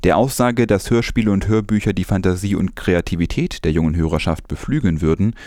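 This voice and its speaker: A man's voice: low-pitched, a little fast, warm tone, no room sound, clean studio sound. A man reading scripted news as a presenter.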